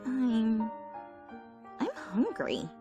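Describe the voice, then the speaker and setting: A woman voicing an unhinged, sweetly obsessive young filly pony in halting phrases for a dramatised fan fiction reading. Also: on the high side, sing-song, warm tone, soft background music, exaggerated voice. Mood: desperate, hungry.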